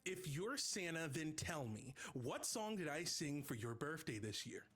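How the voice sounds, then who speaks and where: A man playing a naive, excitable grown man raised as an elf in a live comedy table read, earnest and blunt. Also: on the high side, measured pace, husky, faint music behind, exaggerated voice. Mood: accusing.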